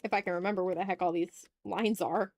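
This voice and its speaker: A woman's voice: fairly low pitch, fairly even intonation, fast, darkish tone. A woman chatting casually on an art livestream while drawing, talking to her viewers in an informal, joking, mildly sweary way. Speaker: livestream host, illustrator.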